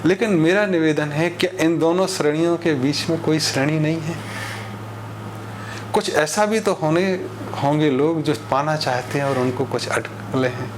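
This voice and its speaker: Higher-voiced man lecturing over background noise. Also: measured pace. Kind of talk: expository monologue on social issues with parables.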